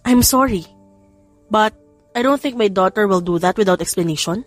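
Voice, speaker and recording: A woman's voice: medium pitch, moderate pace, lightly acted. A woman with a Filipino accent playing a devoted, earnest husband and father in an audiobook. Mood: apologetic. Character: devoted adult family man, tender and apologetic. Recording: background music under the voice.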